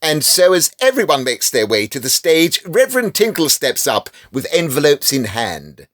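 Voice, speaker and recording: Animated English man narrating a radio drama. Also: fairly high pitch, moderate pace, crowd noise. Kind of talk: dramatic scripted comic narration.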